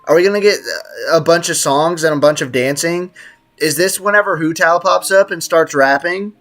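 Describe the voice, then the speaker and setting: A man's voice: a little high, moderate pace, a bit bright. A man live streaming a game with casual, slangy commentary, joking and teasing as he chats with viewers. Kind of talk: casual gaming stream commentary, reading and answering chat.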